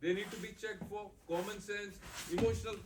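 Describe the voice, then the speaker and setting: An actor playing a tired, buttoned-up young lawyer husband, exasperated and lecturing. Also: male voice, sits high, fairly even intonation, brisk, far from the mic, lightly acted. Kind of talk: stage play acting. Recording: echoey room, faint noise.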